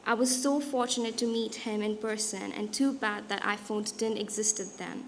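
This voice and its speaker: A young woman from India with an Indian accent, giving a prepared talk as a guest speaker in a measured, deliberate way. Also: medium pitch, steady tone, a little hiss or hum. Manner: measured, deliberate delivery with occasional restarts. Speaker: guest speaker, designer and artist.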